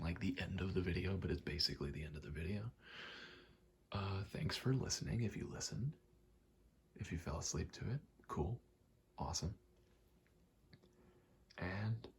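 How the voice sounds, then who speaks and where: Very deep, creaky-voiced young man rambling casually. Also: darkish tone, slight background noise. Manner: self-deprecating, profane. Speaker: ASMR video creator. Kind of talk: rambling casual ASMR talk.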